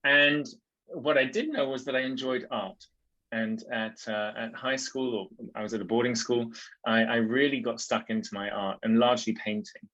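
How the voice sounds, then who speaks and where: A man from Switzerland, talking as a guest on a podcast at an ordinary middle pitch. He tells his story in a thoughtful, unhurried way and often pauses to gather his words. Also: fairly bright tone, muffled recording. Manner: thoughtful and reflective, with frequent ums and restarts. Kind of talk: casual interview conversation.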